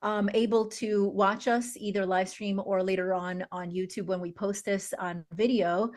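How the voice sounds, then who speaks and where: A woman's voice: mid-range voice, steady tone, moderate pace, darkish tone. A woman hosting a talk show, thinking aloud with frequent "um" as she reflects and steers the conversation. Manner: thinks aloud with many "um" fillers. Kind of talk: conversational interviewing.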